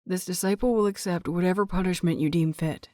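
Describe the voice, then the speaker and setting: A woman's voice: fairly low pitch, fairly even intonation, a little fast, darkish tone, no room sound, clean studio sound, close to an everyday voice. A woman voicing an earnest young man, a respectful disciple who speaks politely and formally, in an audio story.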